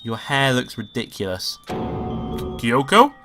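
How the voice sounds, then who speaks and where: A man with a stuffy, nasal voice from being sick reads a visual novel aloud as a let's-play host. He drops casual, cursing asides between lines, and game music plays clearly behind him. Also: medium pitch, very animated, takes it slowly. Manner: swearing, offhand asides between read lines.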